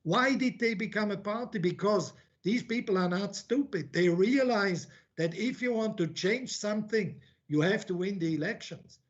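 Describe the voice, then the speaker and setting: A man's voice: high-pitched, normal speed, few pauses, lo-fi sound. A man who grew up in Switzerland, giving a conference talk as an academic. He speaks bluntly, with many restarts and repeated words as he thinks aloud. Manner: blunt, informal asides.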